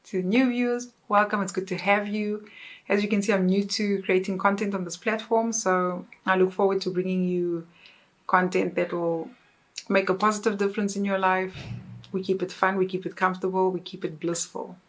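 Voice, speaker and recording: A woman's voice: medium pitch, flat delivery, moderate pace, poor audio. A woman in her thirties from South Africa with a South African accent, chatting casually to camera in an upbeat, self-correcting way. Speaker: YouTuber. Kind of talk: casual vlog chat.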